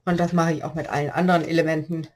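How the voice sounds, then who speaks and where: A woman with a slight cold-hoarse voice, chatting through a step-by-step paper-craft tutorial and explaining the measurements as she works. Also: low voice, flat delivery, very fast. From Germany.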